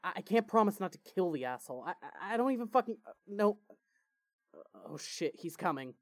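A man acts out a foul-mouthed teenage brother in a fan fiction audio drama. He rambles sarcastically with lively, swinging intonation. The sound is dry and close. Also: sits high, fairly quick, dry recording. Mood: agitated, panicked. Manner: sarcastic, rambling, heavy swearing.

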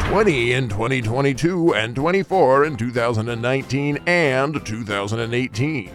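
A man playing a gleefully callous, mock-sincere insurance pitchman in a satirical radio commercial, with exaggerated emphasis and dramatic pauses. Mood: mock grave. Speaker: announcer.

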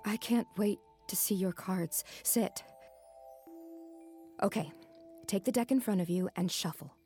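A woman's voice: mid-range voice, a little slow, mellow, faint music behind, lightly acted. A woman playing a young, alluring tarot reader in a naturalistic audio drama, with a teasing, mystical manner. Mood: calm, mystical. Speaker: actress.

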